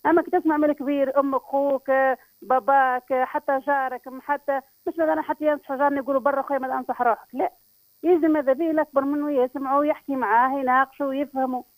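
A woman's voice: high-pitched, fairly even intonation, very fast, no echo, rough recording. A woman with a Tunisian accent calling a radio show, giving earnest, chatty advice in a repetitive, effusive way. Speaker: radio phone-in caller.

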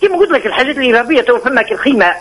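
A man in his forties with a Tunisian accent, calling in to a radio show, lively and insistent and quick to laugh. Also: very high-pitched, husky. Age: 40s. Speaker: radio caller. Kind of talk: phone-in chat. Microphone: telephone.

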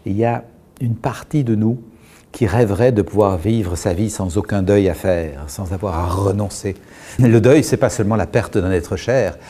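A middle-aged man talks slowly and thoughtfully about feelings, pausing often. His voice sits fairly low and sounds mellow and soft-edged. He explains patiently, like someone guiding a listener. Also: slightly rough. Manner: calm, measured, with frequent pauses and restarts. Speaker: guest speaker on emotions. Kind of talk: reflective explanatory talk.

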